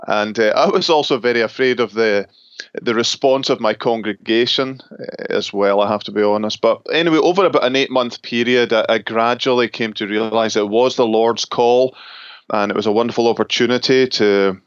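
A middle-aged man with a Scottish accent speaks in an easy, reflective way, pausing and restarting now and then. The recording is close and dry, with a slightly muffled, dull top end. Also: medium pitch, smooth, no echo. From Scotland. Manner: thoughtful, with small laughs and restarts. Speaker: pastor and seminary professor. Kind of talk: relaxed interview conversation.

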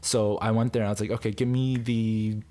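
A young man, a podcast guest, telling lively stories in casual chat, full of fillers and acted-out quotes. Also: fairly low pitch, fairly quick. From United States. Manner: animated storyteller, many fillers, acts out quoted lines.

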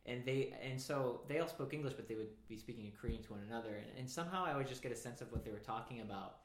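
Host, man, chatty and meandering, mid pitch, roomy sound. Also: very fast. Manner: rambling, full of "like" and restarts. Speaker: podcast host. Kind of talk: casual conversation. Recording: roomy echo.

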